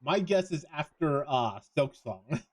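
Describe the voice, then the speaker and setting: Man, fairly high voice, casual streamer chat, halting delivery, trailing thoughts. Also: expressive, darkish tone. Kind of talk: casual chat while streaming a visual novel.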